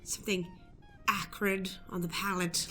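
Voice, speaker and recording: Woman playing smug, bright-voiced flirtatious suitor. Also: medium pitch, little pitch movement, measured pace, soft background music, natural voice. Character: smug, flirtatious male suitor named Darwin. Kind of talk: improvised radio play acting.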